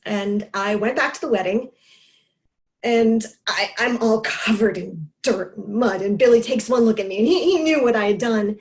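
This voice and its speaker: An actress playing a grieving woman in recovery from alcoholism, delivering a confessional stage monologue in a halting, emotional way. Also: medium pitch, close to an everyday voice. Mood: grieving, subdued, then resigned, tender. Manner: halting, emotional delivery with pauses and repeated words. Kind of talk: dramatic stage monologue, first-person confession.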